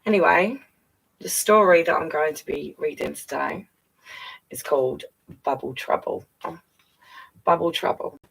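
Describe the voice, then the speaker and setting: A woman's voice: low voice, a little slow, vocal fry, long pauses, a bit bright, slightly rough, poor audio. A woman hosting a story time, reading to children in a chatty way and often turning to them with questions. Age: adult parent. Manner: addresses child listeners with frequent questions and tag questions. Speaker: story-time channel host.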